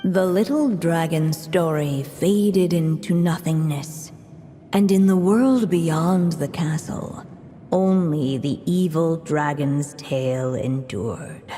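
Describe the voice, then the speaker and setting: A woman playing a solemn storybook narrator in a video game, telling a dark fairy tale in a measured, grave way. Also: deep voice, long reverb tail, slight background noise. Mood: solemn, ominous.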